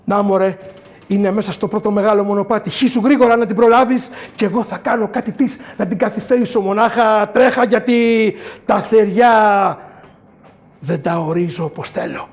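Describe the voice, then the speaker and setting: A woman's voice: a little mellow, lightly acted. A woman playing a stern old creator god. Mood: urging. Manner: low, slow, measured scolding.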